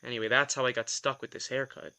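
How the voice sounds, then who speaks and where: A man's voice: mid-range voice, flat delivery, fast talker, sharp tone. A man acting a reluctant vampire in an audio drama, a sardonic, put-upon office worker who tells things in a wry, hesitant, self-deprecating way. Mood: wry, embarrassed.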